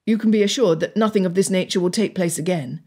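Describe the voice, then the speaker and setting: A woman's voice: lowish voice, fast, darkish tone, breathy edge. A woman voicing an earnest, courteous boy in an audiobook, speaking in a polite, firm and formal way. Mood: earnest, contrite. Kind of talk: audiobook character dialogue.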